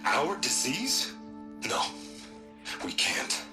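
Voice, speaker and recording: A man playing an unhinged, guilt-ridden, self-righteous tycoon in a video game, halting and stammering as he speaks. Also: a little high, huge pitch swings, music in the background, full character voice. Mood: agitated. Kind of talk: dramatic video game acting.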